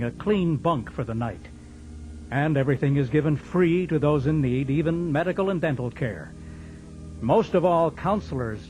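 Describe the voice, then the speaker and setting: A man's voice: a little high, darkish tone, rough recording. A man with an American accent reading scripted narration as the announcer of a radio drama.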